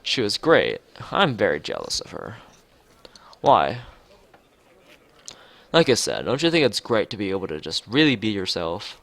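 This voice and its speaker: A man's voice: fairly low pitch, huge pitch swings, a little slow, creaky, pauses often, a bit bright, no echo, heavily acted. A man voicing an aloof, blunt and dreamy teenage girl artist from a visual novel, matter-of-fact, with music behind. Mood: detached, blunt.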